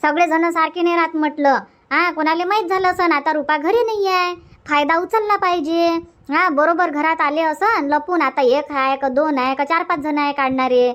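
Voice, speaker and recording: Someone voicing a high-pitched scolding village auntie. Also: fairly even intonation, moderate pace, a bit bright. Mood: scolding, knowing. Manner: emphatic, scolding, repetitive. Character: opinionated village auntie, scolding and advising neighbours. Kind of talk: Marathi cartoon comedy dubbing.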